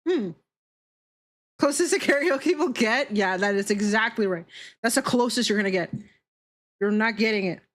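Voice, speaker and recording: A woman's voice: medium pitch, lively intonation, normal speed, pauses often. A woman streamer chatting casually while she draws, with a teasing, playful way of talking. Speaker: VTuber streamer. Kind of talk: casual livestream chat while drawing.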